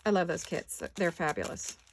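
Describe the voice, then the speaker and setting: A woman with a raspy voice from allergies, chatting casually to viewers as she crafts in her home studio, often talking to herself and slipping into whispers. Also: on the low side, big pitch swings, normal speed, slight background noise. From California. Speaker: craft vlogger. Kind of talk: casual craft chat while working.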